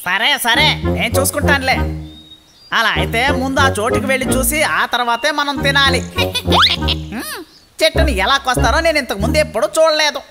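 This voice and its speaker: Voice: high voice, fairly quick, lightly acted. A performer voicing a mischievous, cocky young monkey in a children's cartoon, exclamatory and bossy, with music behind. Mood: carefree.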